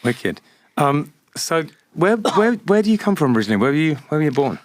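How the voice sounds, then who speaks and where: A man's voice: a little high, big pitch swings, fairly quick, dry recording. A man hosting a podcast, chatting in a laid-back, rambling way and swearing casually. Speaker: podcast host. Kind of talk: casual chat. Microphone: studio mic.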